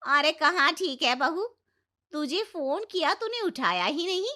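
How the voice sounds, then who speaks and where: A woman voicing a sarcastic, scolding neighbour auntie in a cartoon story, complaining loudly and reproachfully. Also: high voice, very animated, unhurried, voice pushed up, exaggerated voice. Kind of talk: Hindi moral-story cartoon acting.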